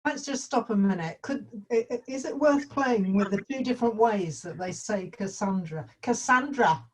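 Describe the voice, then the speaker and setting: Husky woman giving rehearsal notes. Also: medium pitch, moderate pace, low-quality recording. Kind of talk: informal rehearsal discussion. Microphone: video call.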